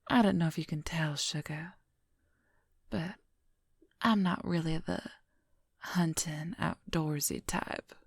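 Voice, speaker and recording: Breathy whispering possessive werewolf woman, acted by a woman. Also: low voice, slow, pauses often, no echo, studio quality, heavily acted. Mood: confiding, wistful. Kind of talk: whispered ASMR role-play, personal attention.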